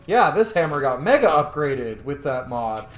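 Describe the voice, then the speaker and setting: A man's voice: mid-range voice, big pitch swings, normal speed, roomy sound, soft background music. A man giving casual, playful, sweary commentary as he plays a video game. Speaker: gaming video host.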